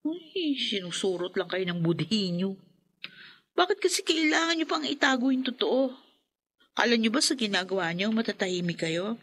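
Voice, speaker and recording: A righteous young woman with a fairly high voice that scolds firmly. She sounds like a character in a radio play. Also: animated, normal speed, a bit bright. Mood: reproachful. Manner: reproachful and firm. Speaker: friend who knows the truth. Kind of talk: radio drama acting.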